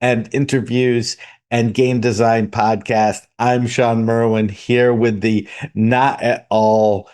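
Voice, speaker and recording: A man's voice: mid-range voice, flat delivery, slow, darkish tone, clear, resonant tone. A man hosting a podcast in a conversational style. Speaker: podcast host.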